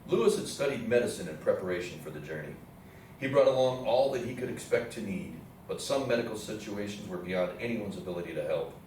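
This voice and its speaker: Low-voiced male narrator, very echoey. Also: brisk, darkish tone. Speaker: narrator in a readers theatre play. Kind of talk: scripted historical narration on stage. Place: live readers theatre performance in an echoey indoor space. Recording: very echoey room, distant mic, faint noise.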